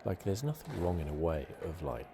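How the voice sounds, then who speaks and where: Very deep-voiced man doing ASMR commentary. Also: big pitch swings, fairly quick, background noise. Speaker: gaming channel host. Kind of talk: ASMR game playthrough commentary. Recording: clear game ambience behind close commentary. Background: video game sounds.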